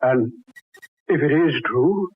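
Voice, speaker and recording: Scholarly middle-aged father, theatrical 1940s radio style, husky voice, deliberate formal phrasing, tinny sound. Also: a little high, animated, heavily acted. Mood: solemn, explanatory. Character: learned older father, film man fascinated by ancient legend. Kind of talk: 1940s radio drama acting. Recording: thin, tinny vintage radio recording.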